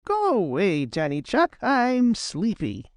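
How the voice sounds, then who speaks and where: A know-it-all storybook rabbit, played by a man, cross and dismissive. The voice sits high and drawls slowly with a jeering edge. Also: wildly swooping pitch, dry recording, clean studio sound, heavily acted. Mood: sleepy, cross.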